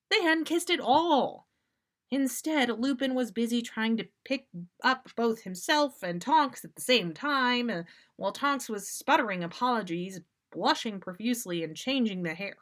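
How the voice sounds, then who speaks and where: A woman hosting a story podcast, reading fan fiction aloud in an expressive, animated way with dramatic pauses and casual asides. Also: on the high side. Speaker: podcast host reading listener stories.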